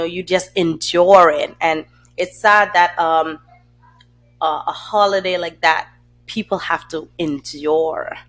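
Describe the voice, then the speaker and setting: A woman hosting a faith podcast, her voice raspy, dry and hoarse from a cold, talking earnestly and reflectively with many fillers and restarts. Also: on the low side, fairly bright tone. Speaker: podcast host. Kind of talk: casual faith podcast talk.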